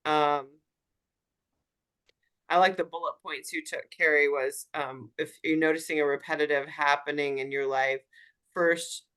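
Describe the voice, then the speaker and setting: A woman's voice: deep voice, steady tone, unhurried, long pauses, fairly bright tone, clean tone. A woman hosting a coaching group on a video call, affirming each speaker and recapping what they said. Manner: affirming, recaps what others say, lots of mm-hmm. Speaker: host and wellness coach.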